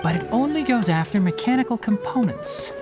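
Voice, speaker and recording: A man's voice: high-pitched, lively intonation, brisk. An actor playing a blunt, analytical young male forest watcher in a game, curt and matter-of-fact. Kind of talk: game voice acting. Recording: game audio over music.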